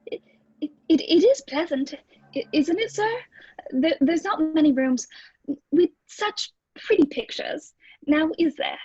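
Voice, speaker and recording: Woman acting a timid, high-voiced stammering landlady. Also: animated, measured pace, darkish tone, dull audio. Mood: timid, eager. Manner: hesitant, stammering, deferential. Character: timid, eager-to-please married landlady in an old British lodging house. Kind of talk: old-time radio play acting.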